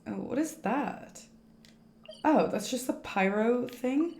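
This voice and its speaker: A woman's voice: on the high side, expressive, slow pace, creaky, darkish tone. A woman streaming a game with casual, rambling commentary, thinking aloud over game music. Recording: echoey room, faint game music. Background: faint game music.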